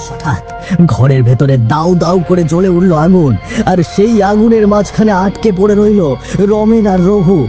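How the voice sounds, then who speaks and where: Bright-voiced male story narrator. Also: sits high, normal speed, faint music behind. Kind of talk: dramatic scripted narration.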